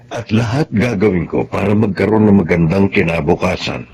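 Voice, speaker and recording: An actor playing an earnest older man pleading for marriage in a Tagalog radio drama, with a husky voice. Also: low-pitched, normal speed, a little mellow, full character voice.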